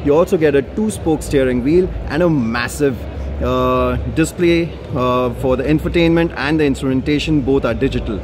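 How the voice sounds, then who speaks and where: A man's voice: mid-range voice, normal speed. A man presenting a car on a walkaround at an expo, explaining casually with plenty of ums and uhs. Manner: casual explaining with many fillers. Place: large indoor auto expo hall. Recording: noisy expo hall.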